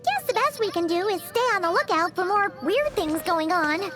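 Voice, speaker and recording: A small, childlike female fairy for an anime game. The voice is shrill and extremely high, swinging up and down in perky excitement. Clear background music plays under it. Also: moderate pace. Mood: uneasy. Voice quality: squeaky, shrill. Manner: speaks of self in third person, perky. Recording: music behind the voice.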